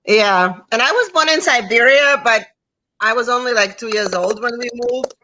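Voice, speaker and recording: A woman, speaking as an interview guest over a video call from her home, soft-spoken and matter-of-fact. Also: on the high side, normal speed, rough recording. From Russia. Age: 40s. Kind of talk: conversational interview. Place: home room, indoors. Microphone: video call webcam.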